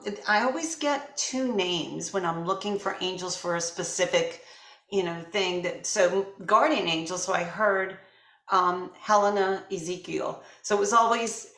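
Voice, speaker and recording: A woman's voice: medium pitch, fairly bright tone. A woman hosting a casual interview, talking in an informal, rambling, conversational way. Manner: rambling, informal, frequently laughing and agreeing. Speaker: interview host. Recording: echoey room sound.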